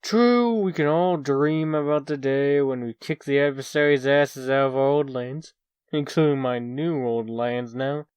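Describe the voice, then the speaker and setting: A man's voice: mid-range voice, a little slow, a little mellow, clean tone, dry recording, natural voice. A man playing a cocky, irreverent young schemer in a comic dub, breezy and slangy with a mocking edge. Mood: flippant.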